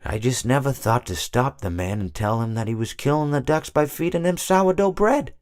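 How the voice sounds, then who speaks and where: A man's voice: mid-range voice, normal speed, dry recording, studio quality, lightly acted. A man voicing an elderly, mild-mannered hippopotamus animatronic for a video game, rambling absent-mindedly, hesitating and correcting himself. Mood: wistful rambling. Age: older. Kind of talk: character monologue for a video game.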